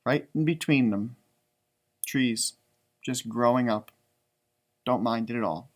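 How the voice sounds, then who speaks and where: A man talking casually and enthusiastically to children during a read-aloud, chatty, stretching words for emphasis like an elementary school teacher. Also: medium pitch. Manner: enthusiastic, chatty, drawn-out emphasis, talking directly to young listeners.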